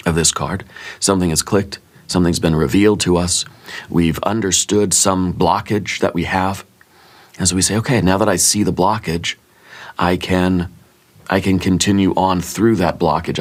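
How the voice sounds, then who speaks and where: A man with a very low voice, stuffed up and a little raw in the throat, lays out tarot cards in a hushed, unhurried ASMR manner. He muses as he goes, filling pauses with small fillers and gentle reassurance. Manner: musing, gently reassuring, thinks aloud with fillers. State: congested, sore throat. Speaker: tarot reader. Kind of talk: soft-spoken ASMR tarot reading.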